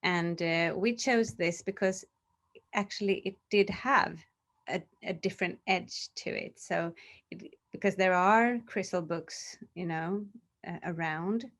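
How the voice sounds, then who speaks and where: A woman's voice: on the low side, a little slow, a bit bright. A woman from the publishing side interviewing an author in casual chat, curious and encouraging, laughing along.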